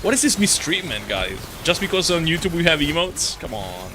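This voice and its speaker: Animated young guy live-streaming a game. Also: a little high, normal speed, background noise. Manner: rambling, slangy, playfully exasperated, swearing. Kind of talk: casual live-stream chat while playing.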